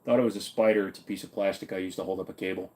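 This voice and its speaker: A man's voice: low voice, steady tone, very fast, darkish tone. A man hosting a casual livestream Q&A, answering viewer questions in an offhand, blunt, joking way with some swearing.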